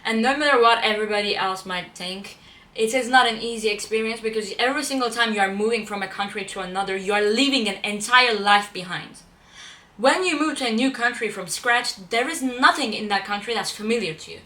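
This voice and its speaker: Bright young woman, Turkish native, echoey. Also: fairly high pitch, brisk, recorded from a distance. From Turkey. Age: young adult. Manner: chatty, repeats and restarts phrases, self-correcting. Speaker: vlogger. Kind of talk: casual talk to camera. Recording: echoey room, voice a bit off-mic.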